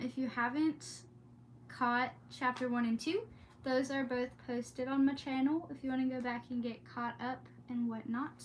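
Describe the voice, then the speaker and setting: A woman's voice: high voice, a little hiss or hum. A woman streamer chatting in a casual, rambling, exclamatory way, easily sidetracked. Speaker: streamer hosting a read-aloud. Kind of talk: casual rambling vlog chat.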